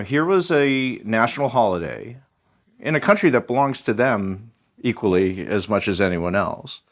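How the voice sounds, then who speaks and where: A man, a history professor, speaking as a podcast guest in a thoughtful, measured way with frequent ums and small restarts. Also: mid-range voice. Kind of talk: conversational podcast interview.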